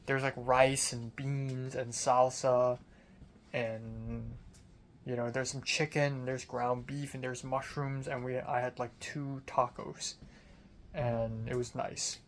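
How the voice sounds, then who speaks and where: A man's voice: medium pitch, little pitch movement, takes it slowly. Young man rambling casually. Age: young adult. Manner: informal, mildly profane, conversational. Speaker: film student vlogger. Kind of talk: casual rambling personal diary.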